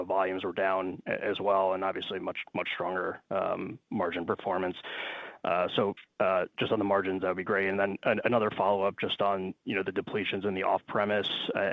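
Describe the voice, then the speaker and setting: A man with a deep, monotone voice calls in as a financial analyst. He phrases his question hesitantly and at length. It sounds like a narrow, dry phone line. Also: no echo. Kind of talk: asking a question on earnings call.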